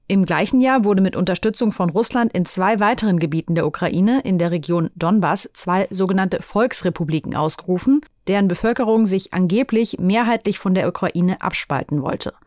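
A woman hosting a news interview, giving background in a clear, composed way before putting questions to a correspondent. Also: mid-range voice, a little fast, few pauses, a little mellow, no echo, studio quality. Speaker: news host.

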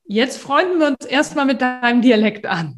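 Chatty woman voice coach, slightly high. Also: lively intonation, moderate pace. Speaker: voice and speech coach. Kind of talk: casual podcast conversation.

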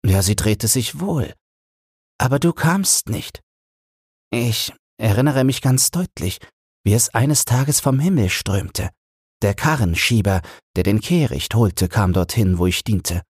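A fond old wife played by a man reminisces in a bedtime storybook reading. The delivery is slow and smooth, lifted well above the reader's own pitch, and recorded dry. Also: expressive, a little mellow, dry recording. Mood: sorrowful longing. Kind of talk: audiobook fairy-tale character reading.

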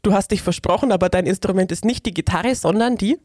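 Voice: mid-range voice, fast talker, darkish tone, no room sound, studio quality. An interviewer asking a band friendly, probing questions. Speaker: radio interviewer.

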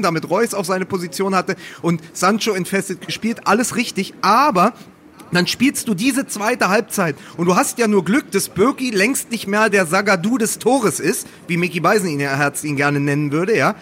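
A man's voice: high-pitched, a bit bright. A man co-hosting a football podcast, talking casually and emphatically, often repeating phrases for stress.

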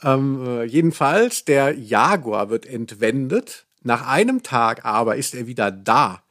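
A man co-hosting a podcast, chatting casually and retelling a story in an excitable, ironic way. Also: medium pitch, measured pace. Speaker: podcast co-host. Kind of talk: casual, humorous discussion of an audio drama.

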